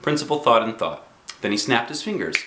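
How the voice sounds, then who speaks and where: A man narrates a children's storybook with expressive, dramatic phrasing. His medium-pitched voice swings up and down and has a bright edge. It is recorded in a roomy, echoing space. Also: fairly quick. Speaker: dad reading children's books aloud.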